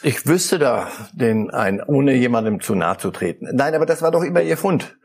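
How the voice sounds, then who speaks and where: A middle-aged man with a husky, slightly breathy voice, giving his view as a football pundit on a talk show. He speaks thoughtfully and haltingly, often starting a phrase twice before finding the word. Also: medium pitch, lively intonation, darkish tone. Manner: thoughtful, halting, repeats words while searching. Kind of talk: studio talk show discussion.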